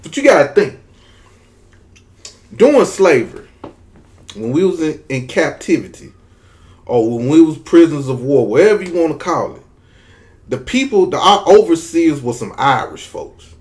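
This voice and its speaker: A man with a thick Mississippi Southern drawl talks straight to his viewers in a casual commentary. His voice sits a little high, and he hammers his points home by repeating them. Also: big pitch swings, normal speed, long pauses, a little mellow. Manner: drawling, emphatic, repetitive. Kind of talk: casual opinion commentary to camera.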